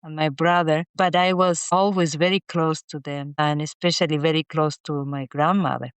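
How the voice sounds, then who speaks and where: A middle-aged woman from Chile, a guest speaking haltingly with many restarts and repeated words in a casual interview. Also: low voice, steady tone, smooth, no room sound. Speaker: guest, inventor.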